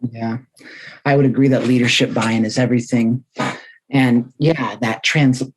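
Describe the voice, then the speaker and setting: Measured, agreeable man host. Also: mid-range voice, darkish tone, low-quality recording. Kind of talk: conversational discussion.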